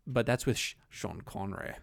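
A young man co-hosting a podcast, animated and joking, often laughing. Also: fairly low pitch, vocal fry, no echo. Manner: animated, laughing, quick jokey asides. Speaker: podcast co-host. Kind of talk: casual podcast chat.

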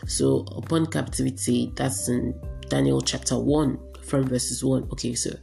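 A woman hosting a radio teaching broadcast, her voice raspy and hoarse from a cold, speaking earnestly and encouragingly. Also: a bass voice, steady tone, measured pace, warm tone, soft background music. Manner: earnest, encouraging, repeats herself for emphasis. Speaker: radio preacher and host.

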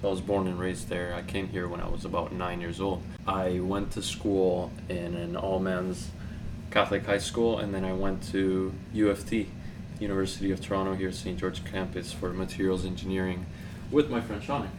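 A man in his twenties from Colombia, chatting casually as a podcast guest, relaxed and full of fillers. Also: low voice, moderate pace, far from the mic, noisy background. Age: 20s. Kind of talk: casual conversation. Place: home studio room.

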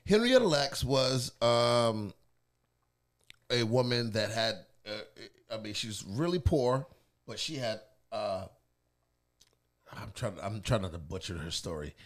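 A man's voice: medium pitch, a little slow. A man co-hosting a podcast, chatting casually, swearing a lot and laughing often. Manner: animated, profane, laughs often. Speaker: podcast co-host. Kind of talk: casual chat.

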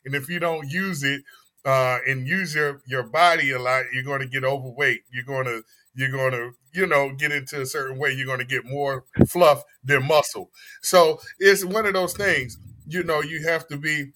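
A man in his early forties hosting a podcast, talking in an animated, emphatic way and often repeating phrases. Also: medium pitch, moderate pace. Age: 40s. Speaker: podcast host. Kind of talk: casual chat.

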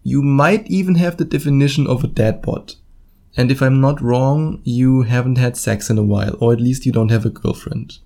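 A man's voice: mid-range voice, normal speed, gravelly, dark tone. A man with a German accent hosting a solo podcast, speaking informally and bluntly straight to the listener. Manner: informal, blunt, swears casually, acts out imagined quotes. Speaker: podcast host and dating coach. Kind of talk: solo podcast monologue, casual direct address.

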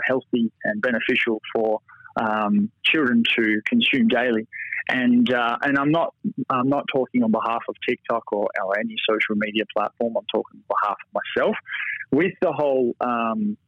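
A man's voice: mid-range voice, no room sound. Rambling Australian man on a dull line. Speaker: guest. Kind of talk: casual interview chat.

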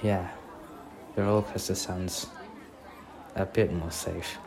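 Low, slow, gentle boyfriend, acted by a man. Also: level tone, creaky, full character voice. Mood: relaxed, cheerful. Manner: hesitant, halting, reassuring. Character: gentle young boyfriend on an amusement park date. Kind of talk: ASMR boyfriend roleplay, soft-spoken. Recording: crowd ambience and noise behind voice.

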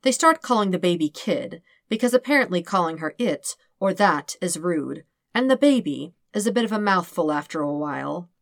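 A woman's voice: fairly low pitch, animated, moderate pace, vocal fry, hardly any pauses, no room sound, clean studio sound. A woman reading scripted fiction as an audiobook narrator.

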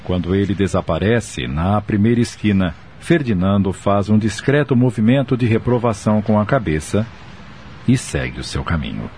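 A man's voice: on the low side, expressive, moderate pace, a little mellow, a little hiss or hum. A man with a Brazilian accent narrating a radio drama and presenting it in a scripted style. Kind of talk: scripted narration and credits reading.

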